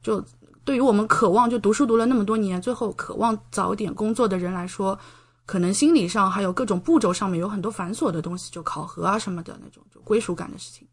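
A young woman speaks as a guest in a conversational interview. Her voice sits at a middle pitch, and she lays out explanations in an informal, step-by-step way. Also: fast. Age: young adult. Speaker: podcast guest, doctor.

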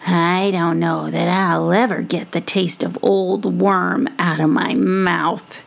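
A woman's voice: extremely high pitch, sing-song, unhurried, pitched above the performer's own voice, full character voice. A woman voicing a small, feisty male creature with whiskers, in a storybook reading. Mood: rueful.

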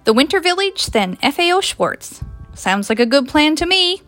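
A woman with a Newfoundland accent voicing a warm, firm, good-humored young woman in a story, emphatic and friendly. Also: sits high, big pitch swings, normal speed, dry recording, faint music behind, pitched above the performer's own voice. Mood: friendly, upbeat.

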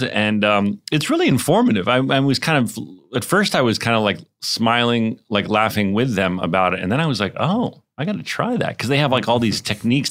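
A man's voice: medium pitch, a bit bright. A man co-hosting a podcast over a video call in casual, joking chat, restarting his sentences eagerly. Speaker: podcast co-host.

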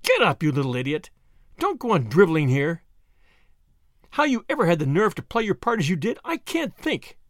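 Man voicing a menacing, high-pitched imperious villainess. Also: big pitch swings, normal speed, no room sound, studio quality, heavily acted. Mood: impatient, scornful. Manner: cold, commanding, sneering. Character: menacing villainess, cold, mocking, imperious woman. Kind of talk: audiobook character acting.

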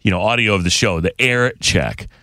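A man's voice: fairly low pitch, normal speed, bright, forceful tone, no room sound. A man hosting a radio show's podcast in casual, unscripted chat, rambling and often interrupting himself. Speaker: radio show host.

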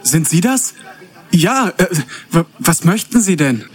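A man playing a starstruck, flustered townsman in a comedy audio drama, excitable and eager to help. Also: high-pitched, measured pace, slightly rough, exaggerated voice. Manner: excitable, stammering.

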